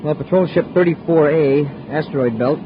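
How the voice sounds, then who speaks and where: An actor playing an eager, dutiful young space cadet, brisk and deferential, in a 1950s radio serial. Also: male voice, fairly high pitch, natural voice. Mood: dutiful, alert. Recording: muffled, noisy 1950s radio recording.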